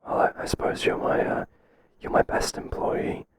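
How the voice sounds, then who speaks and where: A man playing a mild, kindly workplace boss in a whispered ASMR roleplay, soft-spoken and halting with a breathy voice. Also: lightly acted. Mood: surprised, shy. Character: mild, hesitant, kindly male workplace boss, flustered by flattery.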